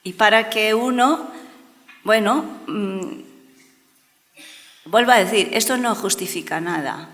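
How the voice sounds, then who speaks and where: A woman's voice: mid-range voice, slow pace, pauses often, fairly bright tone. A woman from Brazil speaking Spanish with a Brazilian Portuguese accent, giving an earnest, emphatic talk at an education conference and repeating words for stress. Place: large conference hall. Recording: long hall reverb.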